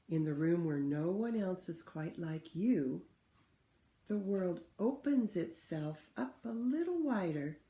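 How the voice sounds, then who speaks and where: A woman reading a picture book aloud to children in an expressive, teacherly way, pausing to ask them questions. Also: fairly low pitch, gravelly. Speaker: read-aloud host for children. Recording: roomy, echoing room sound.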